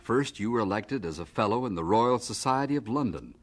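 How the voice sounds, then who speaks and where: An actor playing a dignified, admiring narrator who talks with the hero of a biographical radio drama. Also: male voice, fairly low pitch, lively intonation, a little fast. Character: dignified, admiring male narrator questioning an old professor. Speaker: narrator and interviewer.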